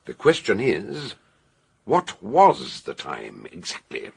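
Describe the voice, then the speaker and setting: An eccentric, authoritative detective in a radio mystery. His voice is low and heavily husky. He speaks emphatically and theatrically, with hugely animated swings of pitch. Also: slow pace, full character voice. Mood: explaining. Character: authoritative, blunt, eccentric male amateur detective doctor. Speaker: detective and narrator. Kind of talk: radio drama acting and narration.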